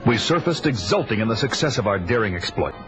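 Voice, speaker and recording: A man's voice: on the low side, sing-song, fast, over loud music. An actor playing a grim barbarian warrior, solemnly narrating his adventure as dramatic audio drama. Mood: solemn, exultant.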